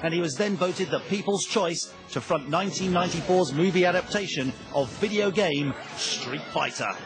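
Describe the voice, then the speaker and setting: A man's voice: sits high, moderate pace, over music. A British man delivering scripted documentary narration in a wry, tongue-in-cheek way.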